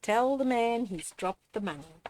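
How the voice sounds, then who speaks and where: An older woman reading a picture book aloud to young children and explaining its lessons in a gently instructive way, speaking to them directly. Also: fairly high pitch, animated, a little slow, a little hiss or hum. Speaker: storyteller reading children's books. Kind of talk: read-aloud picture book with moral commentary.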